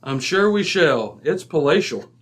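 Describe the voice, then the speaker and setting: A man playing a polite, observant gentleman detective with formal, measured wording in an old-time radio drama. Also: on the high side, big pitch swings. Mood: appreciative.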